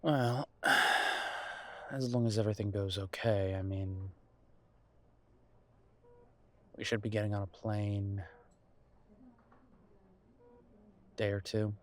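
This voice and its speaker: A male actor as a gentle, nervous boyfriend in an audio drama, with a fairly low voice. He speaks intimately and haltingly, trying to reassure while sounding unsure himself. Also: fairly even intonation. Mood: reassuring, nervous. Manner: hesitant, halting, sighing delivery with soft chuckles. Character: nervous, reassuring grown son visiting his mother, affectionate boyfriend. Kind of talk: intimate audio roleplay acting.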